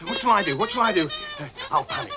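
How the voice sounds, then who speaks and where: Flustered, wildly animated restaurateur. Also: male voice, high voice, fast, noisy background. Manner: exasperated, excitable, repeats himself. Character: flustered, excitable male restaurateur posing as Italian waiter. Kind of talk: children's slapstick comedy acting.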